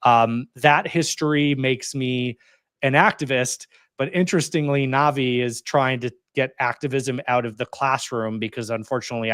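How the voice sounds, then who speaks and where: Here is a man in his thirties speaking as an interview guest. He talks casually and chattily, filling his sentences with "like" and "you know" and breaking into quick laughs, at a middle pitch. The recording is dry and close, with no room sound. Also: fairly bright tone, no room sound. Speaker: interview guest, community organizer. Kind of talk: casual conversational storytelling.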